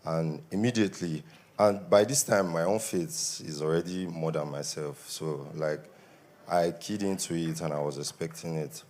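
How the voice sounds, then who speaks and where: A young Nigerian man with a Nigerian accent gives an earnest testimony at a church service, his voice a little worn from shouting. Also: deep voice, normal speed, a little hiss or hum. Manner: earnest, grows more emphatic. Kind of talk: spoken testimony.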